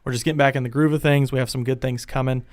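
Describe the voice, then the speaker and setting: A young man hosting a casual sports podcast from his home studio, chatty and energetic, his voice a bit worn. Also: medium pitch, racing. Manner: energetic, chatty, thinking aloud. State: losing his voice. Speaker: podcast host.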